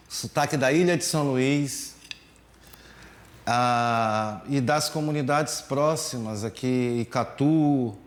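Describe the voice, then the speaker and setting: A man from teaches percussion at an unhurried, drawn-out pace. He hesitates often, adds little tag questions, and sounds like he is recording in a boomy room. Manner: slow, hesitant, many fillers and tag questions. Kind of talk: relaxed music lesson explanation. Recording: echoey room.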